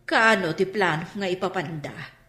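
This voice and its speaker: A woman's voice: on the low side, normal speed, pushed and bright, roomy sound, soft background music. A woman playing a questioning, exasperated mother in a radio drama.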